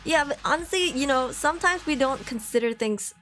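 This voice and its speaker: A young woman from Malaysia chatting casually on a live stream, reading viewer messages aloud and laughing often. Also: a little fast. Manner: chatty, laughs often, lively jumps in pitch. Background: faint background music.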